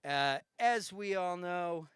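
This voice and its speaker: Male comic host, high bright voice, rambling deadpan delivery full of fillers. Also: slow pace, smooth, no room sound, clean studio sound. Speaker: talk show host. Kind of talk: comedic live talk show hosting. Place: home garage studio.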